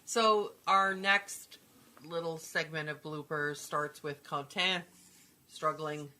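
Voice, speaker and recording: A woman with a very low-pitched voice and a bright, forceful edge co-hosts a casual chat. She speaks conversationally, as a co-producer would. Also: steady tone, slow. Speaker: co-host, co-producer.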